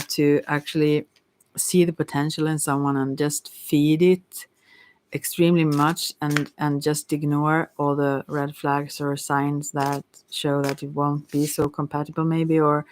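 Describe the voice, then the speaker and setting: A woman with a creaky voice giving an ASMR tarot reading in a hesitant, rambling, casual way, often trailing off. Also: deep voice, slight background noise. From Sweden. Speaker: tarot reader, ASMR creator.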